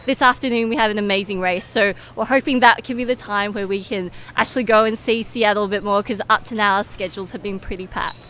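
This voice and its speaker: A woman's voice: medium pitch, fairly even intonation, fast, few pauses, a bit bright. Young woman interviewed amid background noise. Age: young adult. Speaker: interview guest, medical student and competition winner. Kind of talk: informal, explanatory interview answers. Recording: clear background noise and ambience.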